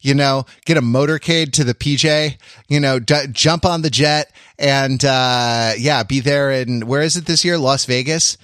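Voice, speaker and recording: A man's voice: mid-range voice, unhurried, fairly bright tone, dry recording, studio quality. An American man hosting a podcast with casual comedic banter, rambling and playful as he goes. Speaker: podcast host.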